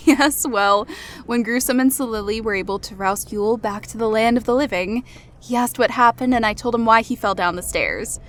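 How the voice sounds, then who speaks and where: A woman's voice: a little high, normal speed, fairly bright tone, lightly acted. A woman playing a playful, easygoing pregnant woman in a fantasy audiobook, chatting in a casual, gossipy way. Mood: amused, sheepish. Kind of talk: fantasy audiobook dialogue over music.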